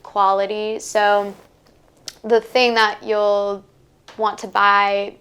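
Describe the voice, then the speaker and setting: A woman's voice: mid-range voice, monotone, drawn-out words, a bit bright, clear, resonant tone. A young woman podcaster answering interview questions in a chatty, casual way, full of "like" and "um". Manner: chatty, lots of "like" and "um" fillers. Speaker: podcaster and workshop guest.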